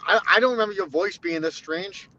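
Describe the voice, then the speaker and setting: A man chatting casually who asks quick, probing questions. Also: high-pitched, sharp tone, lo-fi sound, slight background noise. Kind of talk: casual chat.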